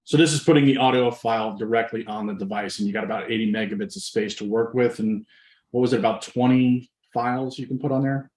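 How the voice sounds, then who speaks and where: A man's voice: mid-range voice, fast, a little mellow. A man hosting an informal webinar demonstration, explaining things step by step in a conversational way. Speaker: webinar host and software demonstrator. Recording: roomy, echoing microphone sound.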